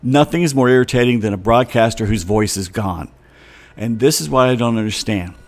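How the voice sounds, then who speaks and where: A middle-aged man with a slightly hoarse voice, ranting in a chatty monologue with many fillers and restarts. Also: medium pitch, a little fast. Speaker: vlogger. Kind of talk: casual rambling monologue.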